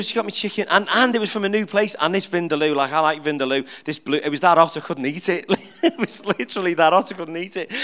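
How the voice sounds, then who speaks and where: A middle-aged preacher with a high, notably clear and smooth voice. He talks to his church in a loose, jokey way, stumbling over repeated words and drawing the congregation in with questions. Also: fairly bright tone. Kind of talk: informal humorous sermon. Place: church, speaking at the front.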